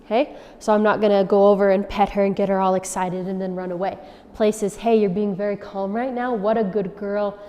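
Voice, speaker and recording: A woman dog trainer calmly explaining and demonstrating commands step by step. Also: medium pitch. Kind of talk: instructional demonstration. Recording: long reverb from a large room.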